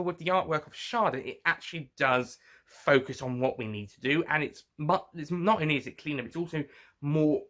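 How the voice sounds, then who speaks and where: Male reviewer, very bright forward voice, mid pitch; off-the-cuff commentary, halting, circles back and self-corrects. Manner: halting, rambling, often repeats and corrects himself. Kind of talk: casual unscripted review commentary.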